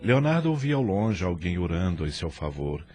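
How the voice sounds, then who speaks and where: A man with a low voice narrates a dramatic story. His delivery is solemn and scripted, with music clearly playing behind him. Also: big pitch swings, normal speed, a little mellow.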